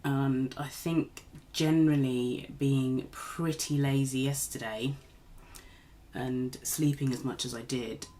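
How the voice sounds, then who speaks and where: A woman's voice: very deep voice, fairly even intonation, slow, dark tone. Slightly nasal woman, chatty vlog. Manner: rambling, chatty, self-deprecating. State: recovering from a cold. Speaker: beauty vlogger. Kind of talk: casual makeup tutorial chat. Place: home, at a makeup desk.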